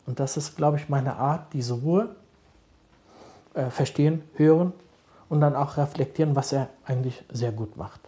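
A middle-aged man, a social worker, answering interview questions calmly and thoughtfully, often pausing to search for words. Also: mid-range voice. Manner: calm, measured, searching for words with many fillers. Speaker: social worker advising fathers.

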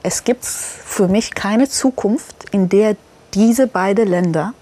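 A woman's voice: medium pitch, takes it slowly, dark tone. Earnest woman journalist on a panel. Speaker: journalist, guest. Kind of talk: panel discussion.

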